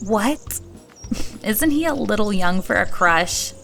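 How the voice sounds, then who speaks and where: A woman's voice: mid-range voice, animated, takes it slowly, creaky, a bit bright, faint music behind, full character voice. A woman playing a firm, protective elf mother in a fantasy audio drama. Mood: amused.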